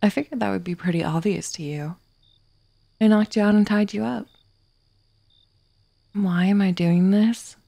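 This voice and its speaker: A woman playing an obsessive, vengeful murderous writer in an ASMR roleplay, sardonic and menacing, slipping into breathy whispers. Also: medium pitch, a little slow, long pauses, warm tone, dry recording. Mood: mocking. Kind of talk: ASMR roleplay, dramatic monologue.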